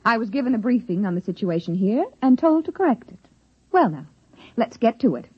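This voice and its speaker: Brusque, husky company inspector. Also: female voice, a little high, big pitch swings, a little fast, dry recording. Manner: clipped, officious. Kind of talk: 1950s radio acting. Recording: dull old 1950s radio recording.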